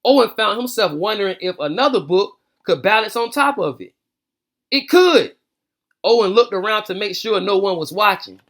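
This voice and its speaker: A man's voice: sits high, expressive, normal speed, a bit bright, reverberant, far from the mic. An American man hosting bedtime stories for children, reading aloud and chatting in casual, slangy speech. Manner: informal slangy talk. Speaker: children's bedtime story host and read-aloud reader. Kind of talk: casual storytelling and chat aimed at kids.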